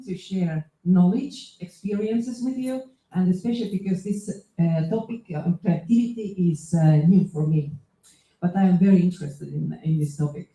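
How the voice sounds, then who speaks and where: A woman from Estonia, an associate professor giving a conference talk in English, pausing often with fillers and restarts. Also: medium pitch, mellow. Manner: hesitant, many fillers and restarts. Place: lecture hall. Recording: echoey hall.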